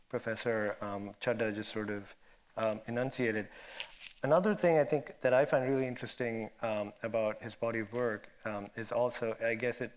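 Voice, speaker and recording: A man moderating an academic film discussion, phrasing his thoughts haltingly with many pauses. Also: fairly low pitch. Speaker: moderator, filmmaker.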